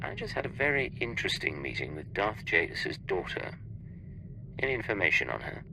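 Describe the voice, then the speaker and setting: A man's voice: low voice, sing-song, fairly quick, background noise. A man playing a cool, probing secret agent in a video game, speaking in terse, controlled questions and statements. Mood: cool, formal.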